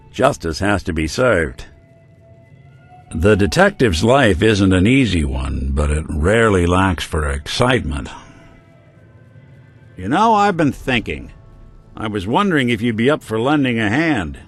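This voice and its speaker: A man's voice: lowish voice, very animated, unhurried, husky, faint music behind, exaggerated voice. An actor playing a weary male synth private detective with a dry, laid-back wit, in video game dialogue. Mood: wry.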